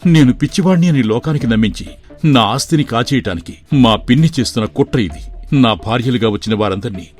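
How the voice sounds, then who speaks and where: A gentle young nobleman, voiced by a man, weak and earnest as he pleads. His voice sits at a mid pitch, with soft music behind it. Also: a little fast, lightly acted.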